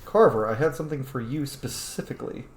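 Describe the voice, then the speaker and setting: A man's voice: medium pitch, lively intonation, fast. A man giving casual, sweary gameplay commentary, often muttering to himself as he plays. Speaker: gamer hosting a playthrough. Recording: echoey room, faint noise.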